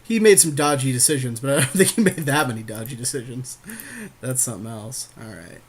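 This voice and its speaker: A man's voice: mid-range voice, a little fast. A man co-hosting a gaming podcast, chatting informally with his partner about card plays, with some swearing. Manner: informal, profane banter. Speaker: podcast co-host playing an online card game. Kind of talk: casual game commentary, chat with partner.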